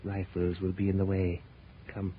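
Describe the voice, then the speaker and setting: A very low-voiced actor's conspirator from an old radio serial, cool and commanding. He speaks in deliberate, formal phrases, and the 1930s recording is muffled and noisy. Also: completely flat delivery, close to an everyday voice. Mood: cool, scheming. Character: scheming, commanding treasure-hunting conspirator, coolly calculating.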